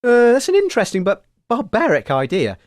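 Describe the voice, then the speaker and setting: A man's voice: high voice, huge pitch swings, brisk, no echo, clean studio sound. A man co-hosting a comedy radio show in a mock-formal, bemused presenter manner.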